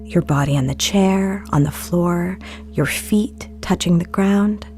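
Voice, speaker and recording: A serene female celebrity guiding a meditation in an audio drama. Her voice is soft and lowish, with a gravelly creak at the edges. She is earnest and soothing, placing each phrase deliberately over faint music. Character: serene film-star guest meditation guide, a woman, soothing and earnest. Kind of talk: guided meditation in an audio play.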